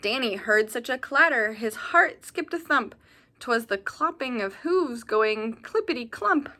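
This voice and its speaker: A woman reading a children's picture book aloud like a teacher, animated, with asides and questions to young listeners. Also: on the high side, a little slow.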